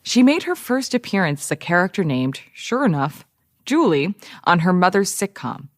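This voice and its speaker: An actress playing a wry, nostalgic radio journalist, narrating a scripted audio drama podcast. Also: female voice, fairly low pitch, very animated, normal speed, dry recording, studio quality.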